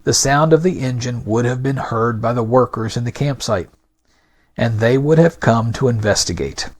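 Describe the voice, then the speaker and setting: A man hosting a podcast with scripted narration, delivered in a deliberate, emphatic, argumentative manner. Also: mid-range voice. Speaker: podcast host.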